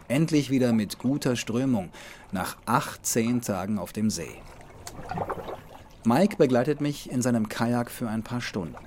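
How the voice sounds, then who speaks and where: A man's voice: mid-range voice, a little mellow, slight background noise. A man narrating a scripted travel documentary as the journey's own reporter.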